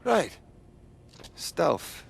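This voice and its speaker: An actor playing a brash, skeptical young man in a game, trading sarcastic, punchy banter. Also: very slow. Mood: keen, challenging. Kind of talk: game cutscene dialogue.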